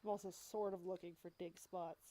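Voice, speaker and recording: A woman's voice: lowish voice, steady tone, fairly quick, warm tone. A woman with a stuffy cold talking casually as a let's play host, explaining the game as she goes with wry asides. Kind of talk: casual gameplay commentary.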